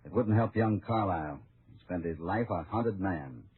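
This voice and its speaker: An actor playing a calm, steely cowboy lawman in an old American radio western, speaking in a measured, firm, grave way. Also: low voice, husky. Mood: grave, regretful. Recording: muffled, narrow-band old radio recording.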